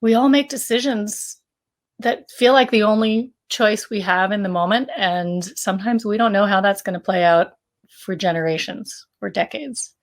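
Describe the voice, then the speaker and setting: Middle-aged woman, mid-pitched voice, co-author casually pitching an audio drama. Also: moderate pace. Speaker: co-author of a fiction podcast. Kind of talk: casual promotional talk about a story.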